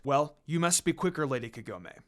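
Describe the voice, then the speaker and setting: Male reader as polite young man, reassuring loyal friend, lively storybook dialogue. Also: a little high, a little fast, voice pushed down. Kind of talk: dramatic story reading.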